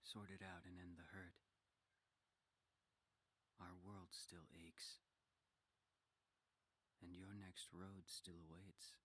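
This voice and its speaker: An actor voicing a cryptic, solemn mysterious stranger in a video game, with husky, breathy speech. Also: male voice, sits low, level tone, takes it slowly, long pauses, bright, forceful tone, exaggerated voice. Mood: solemn, ominous.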